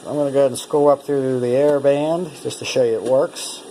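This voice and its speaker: A man's voice: medium pitch, steady tone, fairly quick, warm tone, a little hiss or hum, low-quality recording. A North American man giving a casual, unscripted product demonstration, thinking aloud in a plain-spoken, conversational way. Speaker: presenter demonstrating a vintage radio.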